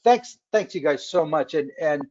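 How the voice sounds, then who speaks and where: A man hosting a webcast interview in casual chat, halting with many fillers and restarts. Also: a little high, animated, darkish tone. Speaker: webcast host. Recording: dull lo-fi webcast audio.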